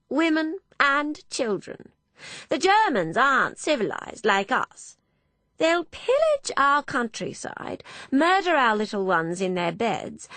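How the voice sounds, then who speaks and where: A woman voicing a pompous elderly aristocratic lady who makes slow, doom-laden pronouncements. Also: sits high, fairly bright tone, no echo, voice pushed up, full character voice.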